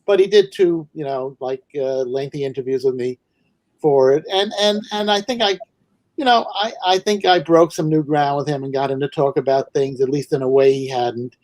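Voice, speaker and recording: A man from Manhattan with a New York accent, a guest author talking over a video call in a thoughtful, halting way. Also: mid-range voice, a little mellow, no echo. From New York City. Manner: thoughtful, hesitant, many restarts. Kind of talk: casual video call interview.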